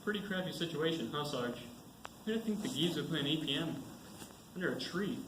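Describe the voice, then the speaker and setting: A man's voice: a little high, lively intonation, a little fast, natural voice. Man playing an echoey, grumbling young soldier. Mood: joking, griping. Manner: informal, grumbling. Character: casual, griping young army soldier. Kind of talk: amateur stage acting. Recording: echoey stage with clear background noise.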